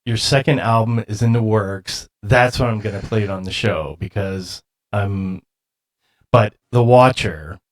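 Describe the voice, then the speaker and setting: A man hosting an interview, chatting casually in a loud, excitable, rambling way that keeps breaking off and restarting. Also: on the low side, measured pace. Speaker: radio show host interviewer.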